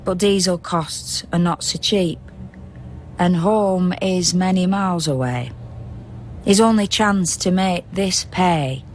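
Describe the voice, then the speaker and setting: Radio drama narrator played by an actor: solemn, all-knowing, unhurried poetic delivery, ambient noise underneath. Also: medium pitch, lightly acted. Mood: measured, knowing. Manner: measured, rhythmic verse delivery. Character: solemn omniscient storyteller of a city at night.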